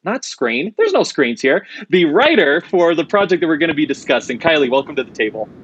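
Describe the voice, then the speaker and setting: A man hosting a podcast, his voice sitting a little high. He talks casually and jokes, often stopping to correct or rephrase himself. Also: very animated, a little fast, lo-fi sound, a little hiss or hum. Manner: joking, rambling with self-corrections. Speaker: podcast host. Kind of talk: casual interview chat.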